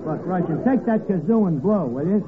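Brash New York tavern manager, slangy and wisecracking, high swooping delivery, tinny old radio sound with audience. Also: male voice, expressive, brisk, a loud crowd, very noisy. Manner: slangy, malapropism-prone, streetwise patter. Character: Archie, brash wisecracking male tavern manager, streetwise and unschooled. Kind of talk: 1940s radio comedy acting.

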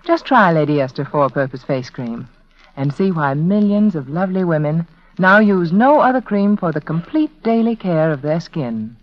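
A woman's voice: lowish voice, expressive, normal speed. A woman reading a scripted 1940s radio commercial as hostess, persuasive and carefully enunciated. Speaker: sponsor's hostess and advertiser. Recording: dull vintage radio recording.

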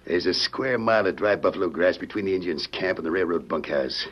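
An American actor playing a cold, scheming outlaw leader in an old-time radio Western, talking in curt, folksy commands. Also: male voice, low-pitched, a little fast, breathy edge. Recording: muffled vintage radio recording, faint noise.

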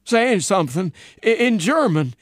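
An earnest, weary radio officer from a storybook, put on by a male narrator, speaks in a high, lifted voice. He delivers his messages haltingly and deliberately, with very little room sound around him. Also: big pitch swings, dry recording, clean studio sound.

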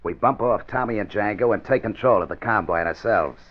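Man as a low-voiced tough, scheming gangster. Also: brisk, natural voice. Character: hard, scheming male gangster lieutenant, tough and dismissive. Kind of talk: 1950s radio crime drama acting. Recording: dull old radio recording.

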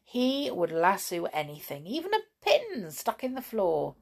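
A woman's voice: medium pitch, huge pitch swings, measured pace. A woman hosting a bedtime story podcast, chatting about listener messages with lots of ums before reading a children's chapter book aloud.

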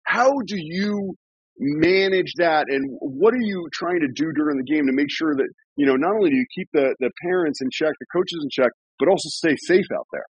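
A man's voice: a little high, brisk, breathy edge, no echo. A man in his forties hosting a casual interview, chatty and rambling with restarts and laughs. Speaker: podcast host. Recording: dull lo-fi sound.

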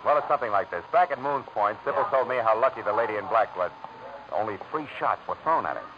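Weary, low-voiced hard-boiled American detective. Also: male voice, animated, fast talker, noisy background. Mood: wry, tired. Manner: world-weary, clipped wisecracking. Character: weary, hard-boiled male private detective, wry but reflective. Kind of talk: 1940s radio noir acting and first-person narration. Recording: muffled old radio recording with music.

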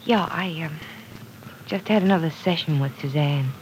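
An actress playing an earnest, gentle young woman caught up in love troubles in an old radio drama, soft and breathy at times. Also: low voice, moderate pace, slight background noise, natural voice, poor audio. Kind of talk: 1940s radio acting.

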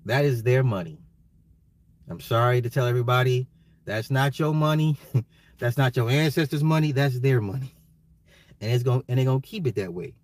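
An American man ranting casually on a livestream, emphatic and slangy, repeating his phrases, his voice getting slightly hoarse. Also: medium pitch, normal speed, long pauses, darkish tone, no echo. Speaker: livestream host. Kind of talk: casual opinionated livestream rant.

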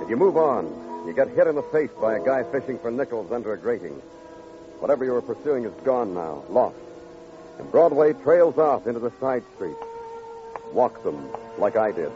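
An actor playing a hard-boiled, world-weary New York police detective in old-time radio noir, brooding, poetic and terse. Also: male voice, medium pitch, close to an everyday voice. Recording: dull vintage radio recording. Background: music.

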